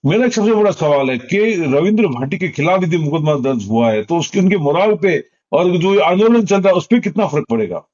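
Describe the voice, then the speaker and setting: A man's voice: a little high, very animated, fairly quick, hardly any pauses, a little mellow. A man interviews someone by phone. His questions are long and tend to circle back on themselves. The call recording sounds somewhat dull. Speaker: journalist interviewer.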